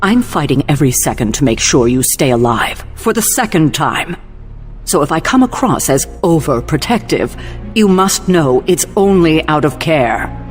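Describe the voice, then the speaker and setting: A man's voice: fairly high pitch, big pitch swings, moderate pace, soft background music. A man playing a stern, protective middle-aged mentor with a sardonic, commanding manner in a video game. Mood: earnest, weary. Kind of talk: video game cutscene acting.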